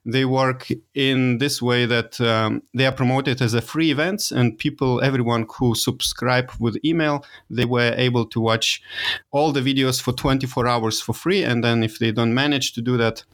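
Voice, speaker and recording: A man from Lithuania speaks in English, his second language, with a Lithuanian accent and a mid-pitched voice. He talks hesitantly, filling gaps with "uh" and rephrasing as he goes. It sounds like a relaxed interview conversation. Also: normal speed. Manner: hesitant, many fillers and restarts, nonnative phrasing. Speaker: guest, virtual summit organizer. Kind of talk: casual interview talk.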